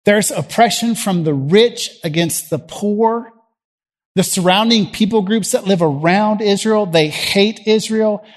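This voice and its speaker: Southern preacher with a higher-pitched voice. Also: male voice. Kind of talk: sermon. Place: church platform before a congregation.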